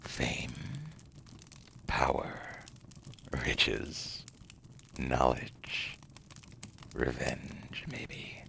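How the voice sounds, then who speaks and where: An actor playing a suave, condescending demon dealmaker in an ASMR roleplay, in a gravelly, breathy half-whisper with slow, smug, silky delivery. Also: male voice, rumbling low, monotone, bright, forceful tone, a little hiss or hum, exaggerated voice. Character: seductive, condescending male demon dealmaker, suave otherworldly banker.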